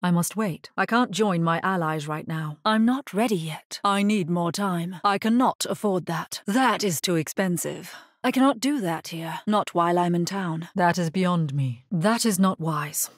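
An actress voicing a solemn, grave necromancer in a video game, with measured, formal delivery. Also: female voice, fairly low pitch, no room sound, studio quality. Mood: calm, formal. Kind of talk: scripted video game character voice lines.

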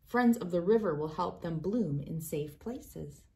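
A woman in her thirties reading a picture book aloud for children, with an expressive, deliberate storytelling delivery. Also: fairly low pitch, creaky, slight background noise.

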